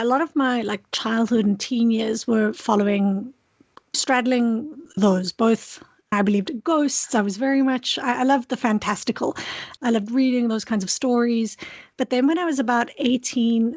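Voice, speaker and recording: A woman's voice: on the high side, moderate pace, no echo. A woman from South Africa with a South African accent, a PhD student talking candidly and thoughtfully as an interview guest. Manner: thoughtful, candid, frequent restarts. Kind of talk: conversational interview.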